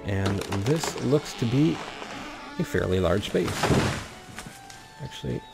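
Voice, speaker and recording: A man's voice: mid-range voice, lively intonation, takes it slowly, vocal fry, music in the background. A man hosting a gaming video, commenting on play and reading the game's story text aloud. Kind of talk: let's play commentary mixed with reading in-game narration aloud.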